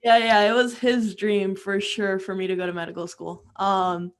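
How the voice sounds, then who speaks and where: A woman's voice: medium pitch, flat delivery, normal speed. A young woman from Peru and Florida chatting informally as an interview guest, often saying "like" as she tells her story. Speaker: interview guest, music career coach.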